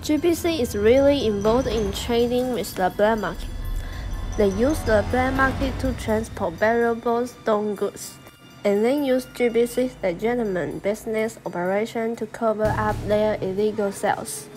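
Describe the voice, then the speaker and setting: A woman's voice: a little high, normal speed, darkish tone, natural voice. A young woman playing an earnest female investigator in a student English radio drama, with a Taiwanese accent. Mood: earnest reporting. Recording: voice over background music.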